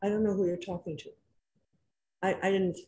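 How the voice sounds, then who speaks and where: A woman on a senior services panel over a video call, conversational and quick to jump in. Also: mid-range voice, level tone, mellow. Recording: echoey room, faint background noise.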